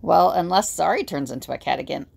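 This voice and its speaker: Female inmate, played by an actress, gravelly fry, dry close sound, wry, practical, informal, audio drama. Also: lowish voice, animated, fast, a little mellow, dry recording, heavily acted. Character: wry, practical woman inmate leading a prison escape.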